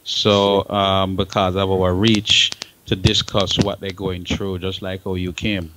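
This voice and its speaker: Over clear noise and clicks, a man with a fairly low voice talks casually. He is a co-host in a radio discussion. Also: level tone, takes it slowly. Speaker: show co-host. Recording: very noisy line with clicks.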